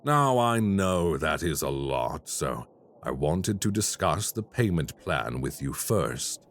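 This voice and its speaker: An actor playing a polite, quietly menacing male crime boss in an audio roleplay. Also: rumbling low, very animated, exaggerated voice. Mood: smooth menace. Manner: slow, courteous and quietly menacing.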